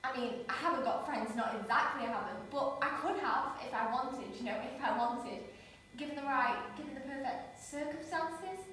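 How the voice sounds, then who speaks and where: A woman's voice: high-pitched, normal speed, a bit bright, a little hiss or hum. Insecure chatterbox, defensive, self-interrupting; woman performing; distant, heavy echo. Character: anxious overtalking woman Leah, insecure and defensive. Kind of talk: stage monologue. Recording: echoey room from far off.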